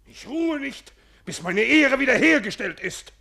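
An actor playing a pompous, blustering Russian general in a theatrical radio comedy. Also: male voice, very high-pitched, lively intonation, a little slow, gravelly, sharp tone, heavily acted. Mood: indignant.

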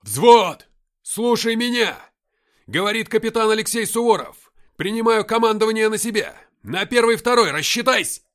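A man voicing a gruff, commanding army captain who barks blunt orders in the heat of battle in an audiobook. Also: on the high side, sing-song, moderate pace, pitched above the performer's own voice. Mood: shouting orders. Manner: barking, forceful, blunt.

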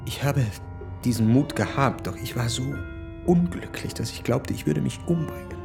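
An actor playing a distraught young man confiding his sorrow in hushed, halting radio drama dialogue. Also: mid-range voice, animated, creaky. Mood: anguished, confessional. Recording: studio voice over prominent music.

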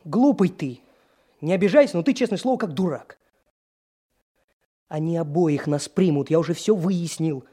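An actor playing a brash, scheming young police trainee in a radio drama, talking in a persuasive, conspiratorial way. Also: male voice, fairly high pitch, lively intonation, moderate pace, long pauses, dark tone, husky. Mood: scolding, persuading.

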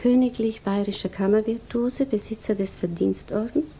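An actress playing a flustered, wistful young provincial widow in a 1950s German radio drama, evasive yet tender, dreamily repeating phrases. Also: female voice, fairly high pitch, fairly even intonation, moderate pace, mellow, a little hiss or hum, natural voice. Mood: wistful, dreamy. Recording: 1950s radio recording under music.

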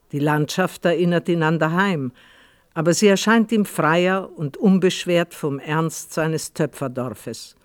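Guy narrating a radio play, measured literary reading, bit high pitched, very dry sound. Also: dry recording.

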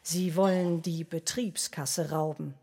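A woman's voice: sits low, fairly even intonation, slow, mellow, slight background noise. A woman reading scripted story narration in a radio play.

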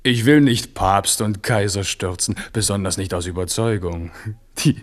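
A man's voice: fairly low pitch, measured pace, lightly acted. An actor playing a jovial, self-assured king of France in a radio play, hearty and prone to laughter. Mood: amused. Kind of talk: 1970s radio play acting.

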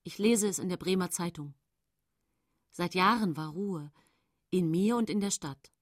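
A woman's voice: lowish voice, unhurried, dry recording, clean studio sound. An actress playing a middle-aged female poisoner who confidingly narrates her own case in a German radio play.

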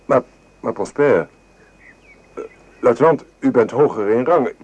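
An actor playing an earnest, humble French volunteer soldier in a radio play, deferential and a little disillusioned. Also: male voice, mid-range voice, unhurried, a little mellow, slightly rough, highs cut off. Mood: respectful, hesitant.

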